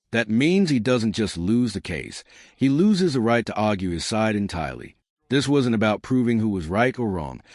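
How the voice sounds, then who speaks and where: A man's voice: fairly low pitch, darkish tone, dry recording, clean studio sound. A man narrating scripted, dramatic commentary.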